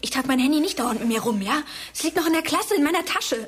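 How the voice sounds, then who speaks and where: An actress playing a frightened but outspoken teenage schoolgirl in a modern radio drama, moving between whispers and sharp retorts. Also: high-pitched, animated, fast, a little hiss or hum. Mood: irritated.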